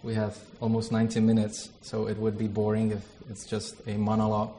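Hesitant Czech-accented man, low flat voice. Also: a little fast, a little hiss or hum. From Czech Republic. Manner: hesitant, many fillers, self-deprecating. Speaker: theology lecturer leading a workshop. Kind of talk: informal workshop talk with a prayer.

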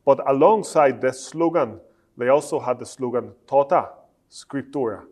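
Northern irish guy in his 30s, italian is his first language, giving a slow careful talk to preachers, lots of uhs. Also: mid-range voice, darkish tone. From Northern Ireland. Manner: measured, slowing down for emphasis, frequent "uh" fillers. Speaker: Bible college lecturer. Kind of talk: lecture to preachers.

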